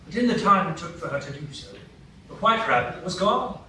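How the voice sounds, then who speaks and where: A man's voice: high-pitched, expressive. Male narrator in an echoey hall. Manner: measured, storytelling. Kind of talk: storybook narration in a live radio play. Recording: echoey hall, distant mic, noisy.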